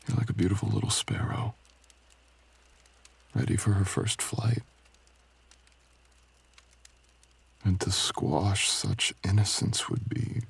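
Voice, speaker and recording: A man playing a brooding, gentle dragonkin stranger in an intimate roleplay audio, speaking softly and hesitantly in courtly phrasing. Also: exaggerated voice. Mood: tender. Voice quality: low, gravelly, husky. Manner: soft, hesitant, tender, archaic courtly phrasing.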